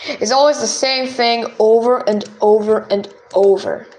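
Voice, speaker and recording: European woman, casual game chatter, reads screen text aloud, highish voice, roomy sound with light music. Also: steady tone, a little slow, a little mellow, slight background noise. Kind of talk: casual chat while playing games.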